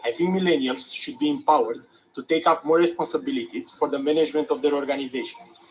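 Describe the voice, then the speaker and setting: A man's voice: medium pitch, fairly even intonation, fast, a little hiss or hum, low-quality recording. A man from Romania, giving a short, firm, declarative statement.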